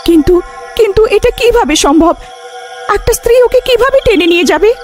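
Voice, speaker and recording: A woman's voice: very high-pitched, animated, fairly quick, over music, pitched above the performer's own voice. A woman voicing an anxious village wife who exclaims and questions fretfully in a horror storybook tale. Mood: distressed.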